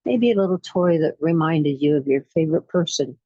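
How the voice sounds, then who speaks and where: A woman leading a guided relaxation meditation in a soothing, unhurried way, pausing between short phrases. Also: low-pitched, expressive, warm tone, no echo, highs cut off. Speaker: guided imagery facilitator.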